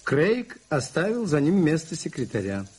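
A gentle priest detective in an old radio play, husky, voice moves up and down a lot, ironic. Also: male voice, on the high side, big pitch swings, normal speed. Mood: calm, knowing. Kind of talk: radio play acting, 1980s Soviet radio.

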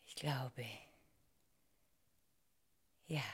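An actress playing a tender, wistful woman reunited with her first love in an intimate German radio drama, soft and often hushed. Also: very slow.

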